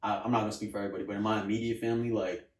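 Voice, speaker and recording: A man's voice: lowish voice, level tone, very fast, recorded from a distance. A young man from New Jersey, a rapper guest, talking in a laid-back way full of "like" and "you know". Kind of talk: casual interview chat. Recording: roomy, from off mic.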